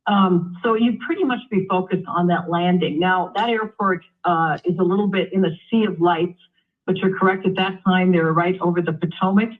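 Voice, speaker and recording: A woman giving measured expert explanations in a live news interview, heard over a narrow phone-like line. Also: on the low side. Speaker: aviation expert, former airline pilot.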